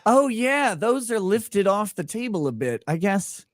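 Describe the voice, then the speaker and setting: A man hosting a livestream, telling profane, animated stories in casual banter. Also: high-pitched, unhurried, no echo, clean studio sound. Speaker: host. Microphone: desk mic on an arm.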